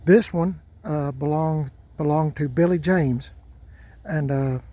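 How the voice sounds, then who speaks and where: An older man talks in a slow, folksy ramble and often repeats himself. His voice has a warm, mellow tone and sits a little high for a man. He speaks casually outdoors as the host of his own vlog. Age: 60s. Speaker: truck channel host. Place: outdoors by parked trucks.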